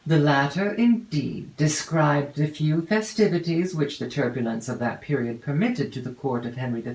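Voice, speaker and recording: A woman's voice: sits low, a little mellow. Woman narrating a classic novel, roomy echo. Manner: measured, formal reading with deliberate phrasing. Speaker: audiobook narrator. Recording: roomy, echoey home recording.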